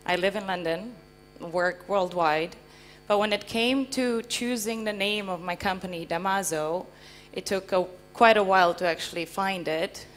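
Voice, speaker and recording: A woman's voice: mid-range voice, normal speed, fairly bright tone. A woman from Romania speaking as a panel guest, explaining things conversationally and often checking in with rhetorical questions. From Transylvania, Romania. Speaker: invited panel speaker, company founder. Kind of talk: panel interview, explanatory anecdotes. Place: live conference panel before an audience.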